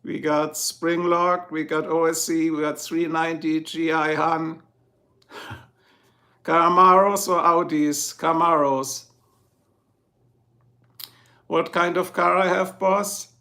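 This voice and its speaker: A man's voice: on the high side, level tone, slow, long pauses, bright, forceful tone. A middle-aged man with a German accent, chatting casually with viewers on his livestream and answering their comments. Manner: chatty, answers viewers one by one, chuckles often. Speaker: livestream host.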